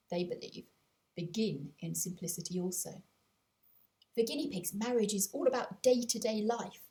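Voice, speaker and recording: A woman narrates a scripted story for children. Her delivery is expressive, with a very animated pitch that rises and falls. She places deliberate dramatic pauses between phrases. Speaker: author reading their own audiobook.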